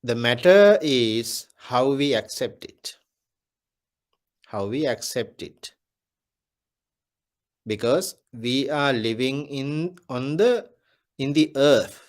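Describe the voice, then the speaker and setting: A Buddhist monk giving a Dhamma talk, speaking deliberately and repeating his key phrases. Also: medium pitch, animated.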